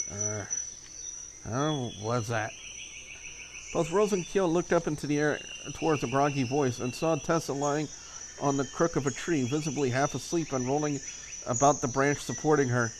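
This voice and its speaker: A man's voice: a little high, steady tone, fairly quick, background noise. A man reading scripted visual novel narration aloud in a dramatic storytelling style. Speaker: reader of a visual novel for a video channel.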